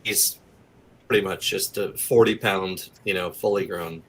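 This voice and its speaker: A man's voice: sits low, steady tone, unhurried, slightly rough. A man talking casually as an interview guest, in an easygoing way with conversational asides. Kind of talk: casual chat.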